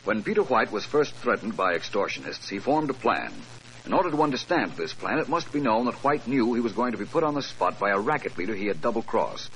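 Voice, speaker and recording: Suave low-voiced radio detective. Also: male voice, big pitch swings, fairly bright tone. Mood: explanatory, assured. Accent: American. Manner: measured, precise, probing questioning and dictation. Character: suave, self-assured male detective solving a murder case. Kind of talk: 1940s radio drama acting. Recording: noisy old 1940s radio recording.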